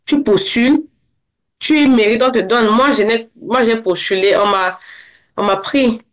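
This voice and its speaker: Young Cameroonian woman telling her story. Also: on the high side. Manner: fast, with many restarts. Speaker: interview guest, former scholarship holder. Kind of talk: casual interview.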